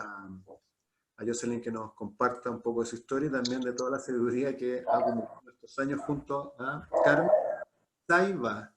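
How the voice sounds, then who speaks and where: A man's voice: medium pitch, background noise. A Chilean man casually hosting a live online talk, chatty and given to repeating short phrases. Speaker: host of an online talk series.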